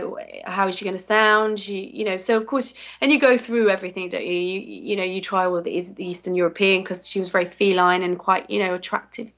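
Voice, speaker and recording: A woman's voice: medium pitch, fairly bright tone. Chatty English woman, rambling. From England. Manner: rambling, many fillers and restarts. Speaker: voice actress, interview guest. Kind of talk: casual interview chat.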